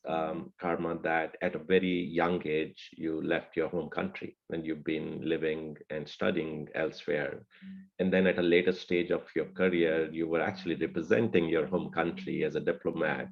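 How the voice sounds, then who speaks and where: A man's voice: extremely low pitch, steady tone, moderate pace, vocal fry, muffled recording. A man moderating an online discussion, politely relaying audience questions.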